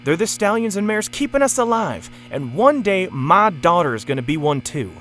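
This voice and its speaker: An actor playing an earnest, loyal working stallion and parent in an audio drama, reasoning patiently. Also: male voice, high-pitched, very animated, moderate pace, fairly bright tone, faint music behind. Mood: earnest, insistent.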